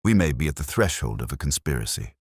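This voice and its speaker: Guy doing an imperious detective, super low voice, formal n lecturing, swings around a lot, audiobook style. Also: big pitch swings, fast talker, husky, no echo, studio quality, full character voice. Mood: grave, keen. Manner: formal, precise, emphatic, lecturing. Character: keen, incisive, imperious male master detective. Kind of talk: audiobook detective story dialogue.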